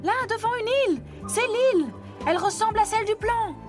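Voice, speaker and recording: A man's voice: very high-pitched, lively intonation, a little fast. A man voicing an earnest, eager young boy adventurer in a dubbed cartoon. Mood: excited. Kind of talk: dubbed low-budget cartoon dialogue. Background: music.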